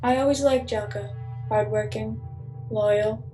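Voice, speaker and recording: A woman's voice: a little high, fairly even intonation, unhurried, dark tone, soft background music, lightly acted. A teenage girl playing a detached, formal doctor who is really an alien illusion, in a radio play. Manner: detached, formal, coolly resigned. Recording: echoey room.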